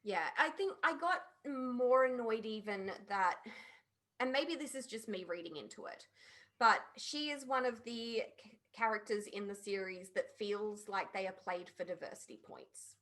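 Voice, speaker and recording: Woman on a podcast just chatting, informal, pretty emphatic about her opinions, medium pitched voice. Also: moderate pace, a bit bright. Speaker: podcast panelist. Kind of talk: casual opinionated review chat.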